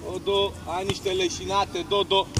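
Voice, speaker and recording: High-pitched man barking football coaching commands. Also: level tone, noisy background. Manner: loud, urgent, barking short commands. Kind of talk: shouted drill instructions and praise at training. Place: outdoors on a football training pitch.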